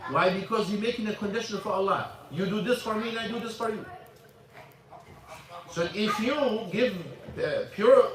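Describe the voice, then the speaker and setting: High-voiced imam teaching in an echoey mosque. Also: male voice, a crowd in the background. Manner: halting, repeats words, switches into Arabic. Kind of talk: informal religious lesson with questions. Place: mosque classroom. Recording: echoey room, mic at a distance.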